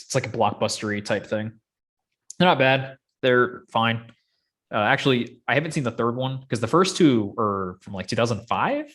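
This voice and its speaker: A man's voice: mid-range voice, a bit bright. A man in a casual, rambling, opinionated chat about films and games, as a podcast host.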